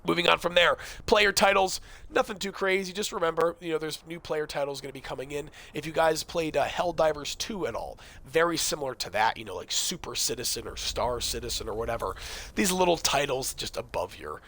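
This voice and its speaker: A young man hosting a casual game-tips video, talking straight to his viewers with lots of fillers, his voice growing hoarse. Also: on the high side, a little fast, a bit bright. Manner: chatty, addresses viewers directly, frequent fillers. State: losing his voice. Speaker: gaming video host.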